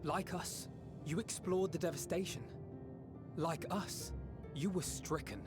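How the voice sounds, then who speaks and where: A man playing an earnest, compassionate young scholar in a fantasy game, speaking in measured, formal phrases. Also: on the high side, steady tone, close to an everyday voice. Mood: solemn, empathetic. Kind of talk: dramatic fantasy game acting. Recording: orchestral game music behind.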